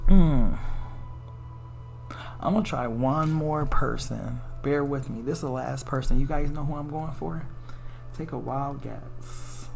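A woman's voice: deep voice, gravelly, rough recording. An American woman giving a casual, chatty tarot reading, musing aloud in informal, slangy, salty language. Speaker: tarot reader.

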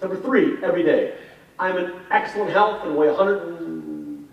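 A man's voice: on the high side, vocal fry. A man delivers a motivational talk in a big hotel ballroom, rattling off his points and poking fun at himself. The sound is distant and muffled, with a long echo off the hall. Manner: brisk listing, self-mocking asides. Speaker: conference speaker. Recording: roomy distant hall sound, dull, music behind.

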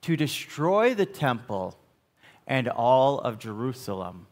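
Male pastor, American, middle-aged, unhurried sermon delivery, mid-range voice, long church echo. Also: mid-range voice, very animated. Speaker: Lutheran pastor. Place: church sanctuary, at the pulpit.

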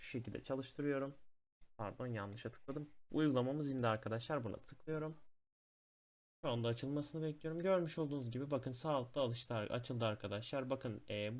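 Man hosting a casual software tutorial. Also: medium pitch. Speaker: tech tutorial host.

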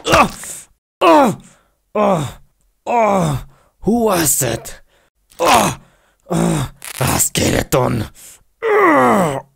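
Man doing a gravelly grunting brute. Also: high-pitched, wildly swooping pitch, extremely slow, long pauses, fairly bright tone, full character voice. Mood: angry. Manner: simple broken phrases, roars and grunts. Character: hulking angry brute man in broken English.

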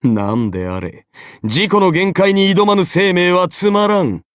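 A man's voice: high voice, lively intonation, measured pace, dry recording, studio quality. An actor playing a haughty, easily bored golden-armored king in an anime game, pompous, imperious and condescending. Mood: disdainful. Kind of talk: dramatic anime game character voice acting.

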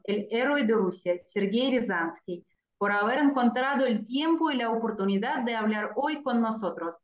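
A woman's voice: a little high, dull audio. Woman in an echoey video call. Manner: reads each passage twice, first one language then the other. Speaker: cultural center representative. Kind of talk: formal bilingual welcome speech. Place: indoor room, video call. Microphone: video call webcam.

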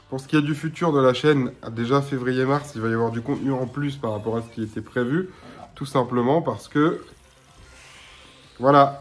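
A man in his thirties talks casually to a desk webcam in a small room at home, his nose blocked and his voice still a bit hoarse. He rambles along with plenty of filler sounds, at an ordinary mid pitch. Faint music sits quietly behind him. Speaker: gaming YouTuber. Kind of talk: casual to-camera chat.

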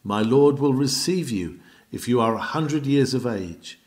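A man's voice: medium pitch, expressive, unhurried, a little mellow. A man reading an old sermon aloud in an emphatic, exhorting manner, with old-fashioned oratory. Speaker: reader of a historical sermon.

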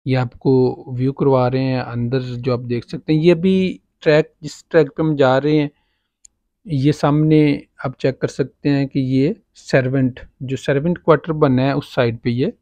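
A man with a Pakistani Urdu accent, talking casually as he walks a property and points things out. His voice sits at a medium pitch. It is recorded close and dry on a handheld phone. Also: fairly even intonation, moderate pace, darkish tone, dry recording, muffled recording. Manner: casual guiding, filler-heavy, pointing things out. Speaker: property agent. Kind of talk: walking property tour. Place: outdoors on a farm property, walking.

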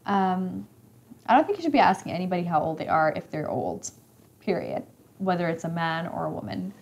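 A woman of twenty-five, a little raspy today, hosting a live stream and chatting as she reads comments, teasing and laughing often. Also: lowish voice, lively intonation, a little fast. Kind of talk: casual live stream chat reading comments. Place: indoor room with leather couch.

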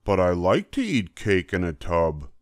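A man's voice: deep voice, huge pitch swings, a little slow, no room sound, clean studio sound, heavily acted. An older man voicing a jolly, boastful, mischievous cat from a children's storybook in a breezy, showy manner.

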